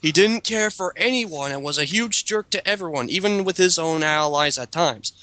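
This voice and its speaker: A man's voice: on the high side, animated, moderate pace, bright, forceful tone, no echo. A man doing a dramatic reading of a written character bio, breaking into casual commentary as host.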